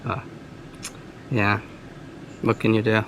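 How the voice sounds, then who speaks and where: A male caller with a soft, feminine-sounding voice, talking hesitantly and rambling with lots of fillers. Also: on the low side, little pitch movement, slow, noisy background. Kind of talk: casual phone call.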